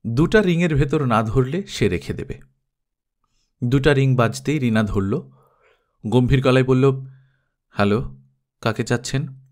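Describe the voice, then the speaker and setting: Man narrating a story, medium pitch. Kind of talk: dramatic audiobook narration.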